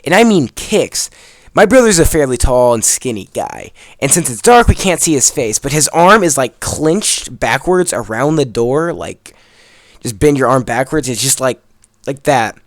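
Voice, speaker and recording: A man's voice: medium pitch, very animated, normal speed, no echo, studio quality. A young man telling a story in a casual, rambling, emphatic way, swearing freely as he goes. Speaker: video creator telling a story over gameplay.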